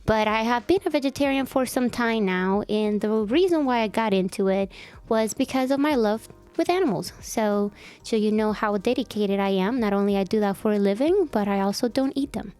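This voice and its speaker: A woman's voice: mid-range voice, moderate pace, a little mellow, clean tone, soft background music. A woman in her twenties from El Salvador, co-hosting a casual podcast and chatting about herself with a self-deprecating, easygoing manner. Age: 20s. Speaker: podcast co-host. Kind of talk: casual chat.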